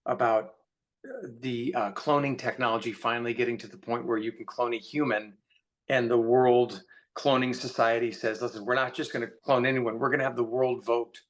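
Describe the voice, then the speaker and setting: A man's voice: medium pitch, fairly even intonation, normal speed. A man speaking casually as an author guest in a conversation, rambling a little, with a joking, self-deprecating way of talking.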